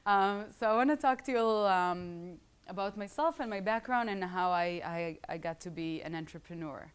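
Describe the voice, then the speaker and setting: A woman's voice: on the low side, moderate pace, clean tone. A woman in her thirties with an Israeli accent, a startup founder giving a casual, joking talk to a live audience of students. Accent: Israeli, with some English schooling. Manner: chatty, joking asides to the audience.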